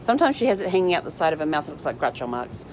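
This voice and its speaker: A woman's voice: low-pitched, very animated, racing, a little mellow, slight background noise, low-quality recording. A woman talking casually and informally in a chatty, rambling livestream vlog style. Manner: chatty, informal, talks to her dog in asides. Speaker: vlog host. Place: inside a parked RV.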